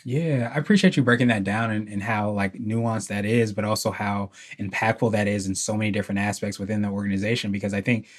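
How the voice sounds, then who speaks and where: A man's voice: on the low side, fairly even intonation, fast, hardly any pauses. A man hosting an interview who keeps affirming his guest and restating the guest's points at length. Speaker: podcast host. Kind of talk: conversational interview.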